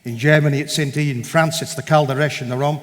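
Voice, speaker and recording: A man's voice: fairly high pitch, steady tone, fast. A man giving a conversational, storytelling talk to a church congregation, with many digressions and quoted exchanges. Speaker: guest speaker at a church meeting. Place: large church hall. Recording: long reverb of a large hall.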